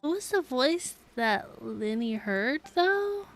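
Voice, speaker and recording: A woman gives casual live commentary as she plays a game. Her voice is on the high side and she talks slowly, puzzling aloud in a giggly way. Faint game music runs behind her. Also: sing-song. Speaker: game streamer. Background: soft game music.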